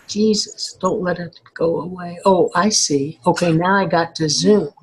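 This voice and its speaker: A woman with a husky voice, speaking casually and haltingly as she works through a task as a participant. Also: on the low side, steady tone, low-quality recording. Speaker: participant in a readers theater recording. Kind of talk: casual, halting troubleshooting talk.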